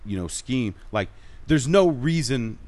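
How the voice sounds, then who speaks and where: A man's voice: medium pitch, a little slow, no room sound. A man telling a story in casual podcast chat, acting out the people he quotes. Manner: animated storyteller, acts out quoted voices. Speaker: podcast guest.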